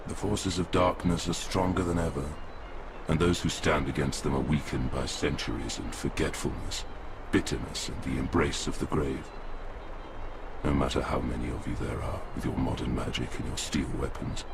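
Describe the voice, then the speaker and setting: An immensely deep-voiced old woodland creature-king speaks with proud, mournful gravity. His delivery is poetic and doom-laden, in video game cutscene style, over clearly audible background ambience. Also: steady tone, moderate pace, background noise. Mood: ominous, solemn. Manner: solemn, grandiose, poetic doom-laden pronouncements. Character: melancholy, proud, ancient stag-headed forest king.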